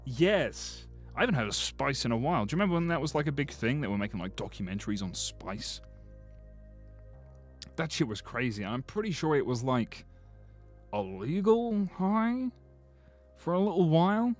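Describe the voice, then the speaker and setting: Joking man streaming, slightly hoarse. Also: a little high, big pitch swings, normal speed, faint music behind. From Britain. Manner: joking, rambling, swears freely. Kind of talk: casual livestream commentary.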